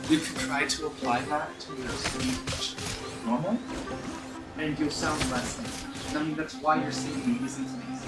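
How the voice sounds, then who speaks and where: A man's voice: fairly high pitch, animated, moderate pace. A man streaming a game, chatting casually and rambling with restarts as he thinks aloud. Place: room with other people present. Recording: roomy, off-mic, loud game music behind.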